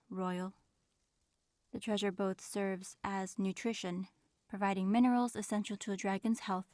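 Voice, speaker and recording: Audio roleplay: proud, aloof dragoness in human guise, played by a woman, mid-range voice, measured formal speech, dry studio-close sound. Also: mid-range voice, no echo, natural voice. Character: proud, reserved female dragon in human form. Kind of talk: audio roleplay acting, addressing the listener.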